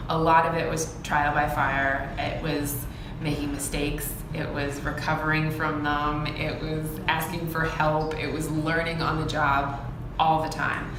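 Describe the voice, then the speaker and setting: A middle-aged woman, a production stage manager, telling her career story in an interview in a bold, lively way with a loud voice. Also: sits low, measured pace, few pauses. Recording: echoey room with background noise.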